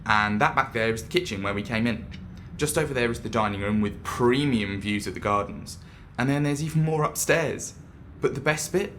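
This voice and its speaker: A man playing an excitable, cheeky young ghost hunter in an audio drama, chatty, eager and teasing. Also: medium pitch, normal speed, hardly any pauses, a little hiss or hum, lightly acted. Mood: smug, teasing. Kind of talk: naturalistic audio drama acting.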